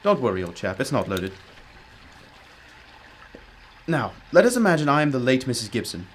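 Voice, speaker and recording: A man's voice: medium pitch, sing-song, fast talker, slight background noise, close to an everyday voice. A man playing a brilliant, commanding detective in a radio drama, explaining emphatically with old-fashioned courtesy. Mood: focused. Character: brilliant, commanding, self-critical male detective.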